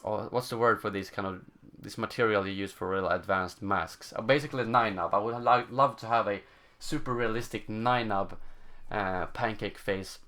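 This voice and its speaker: A young man with a Swedish accent, speaking English as his second language while he reads out and answers viewer questions. His voice sits somewhat low, and he talks in a loose, halting way, filling gaps and hunting for the right word. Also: pushed and bright. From Sweden. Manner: halting, searching for words, many fillers. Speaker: gaming channel host. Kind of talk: live stream Q&A, casual chat.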